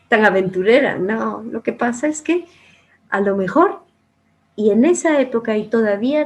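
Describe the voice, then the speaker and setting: Reflective middle-aged woman, soft-spoken. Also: fairly high pitch. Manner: reflective, with soft laughs and some trailing quiet phrases. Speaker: interview guest, former producer and journalist. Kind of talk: conversational storytelling interview.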